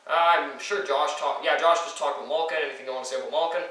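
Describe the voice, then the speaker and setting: A man's voice: a little high, fairly even intonation, quick pace, pushed and bright. A teenage boy co-hosting a casual hockey chat, rambling and joking with lots of fillers. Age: teens. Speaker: co-host. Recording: echoey room, far from mic.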